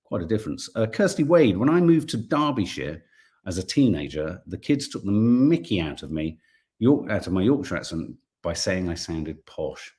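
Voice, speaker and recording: A man's voice: sits low, sing-song, normal speed, mellow. A man hosting a casual live stream, chatting and reading viewer comments aloud, sometimes tripping over his words. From English Midlands.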